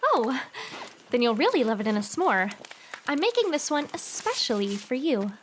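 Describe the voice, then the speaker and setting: A woman playing a cheerful, caring teenage girl, chatty and gently chiding, in an anime-style audio drama. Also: high-pitched, big pitch swings, moderate pace, a little hiss or hum. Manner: chatty, playful, gently scolding.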